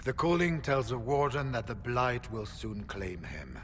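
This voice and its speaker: A man voicing a grave, courteous senior warrior in a video game, measured, solemn and formal. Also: mid-range voice, very animated, a bit bright. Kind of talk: dramatic video game acting. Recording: long reverb, faint ambient noise.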